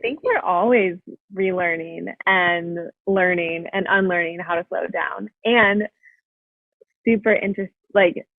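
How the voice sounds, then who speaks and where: A woman's voice: on the low side, unhurried, creaky. A young woman chats as a podcast guest over a video call and fills her talk with "like." Her voice comes through a narrow, phone-like line. The sound is dry, with no room echo. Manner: chatty, full of "like" fillers. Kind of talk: casual interview chat.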